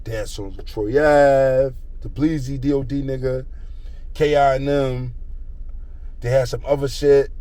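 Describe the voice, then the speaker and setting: A man's voice: mid-range voice, fairly even intonation, a little slow, warm tone, no room sound. A young man telling a rambling street story in slang, circling back to a repeated catchphrase.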